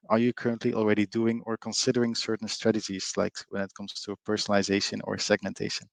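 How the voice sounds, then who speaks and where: A middle-aged man with a Flemish Belgian accent presents a webinar in a lowish voice. He is chatty, peppers his talk with fillers and keeps asking his audience to join in. The sound is dry and close. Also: vocal fry, darkish tone, dry recording, rough recording. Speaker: webinar presenter, company co-founder. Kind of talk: live online presentation.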